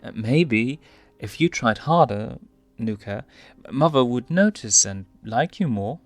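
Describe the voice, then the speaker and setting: A man's voice: mid-range voice, lively intonation, slow pace, no echo, soft background music, pitched above the performer's own voice. A man voicing a frank, reluctant young lion cub, a younger brother with a plaintive, questioning manner, in a story reading. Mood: earnest, frustrated.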